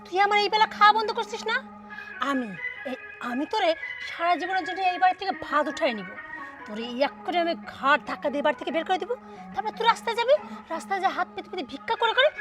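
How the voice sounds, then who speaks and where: A woman acting as a cruel daughter-in-law who berates and mocks her elderly mother-in-law with harsh, repeated taunts. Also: very high-pitched. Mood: menacing. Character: cruel hungry daughter-in-law, scolding and spiteful. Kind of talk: melodramatic domestic drama acting.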